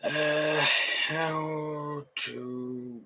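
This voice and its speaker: A young man chatting casually on a livestream, rambling with many halting restarts. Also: a little high, steady tone, pushed and bright, low-quality recording.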